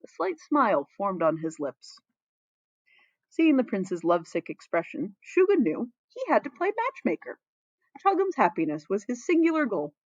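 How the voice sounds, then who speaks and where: A woman's voice: medium pitch, wildly swooping pitch, moderate pace, no echo, dull audio. A woman narrating fan fiction expressively, playfully dramatic, with the odd aside.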